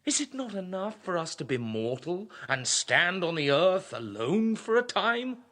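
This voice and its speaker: An actor playing a proud, contemptuous young king in a classical radio drama, with a husky voice and an imperious, declamatory manner. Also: high-pitched, sing-song, unhurried, pushed and bright, full character voice. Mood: scornful, fervent. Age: young adult.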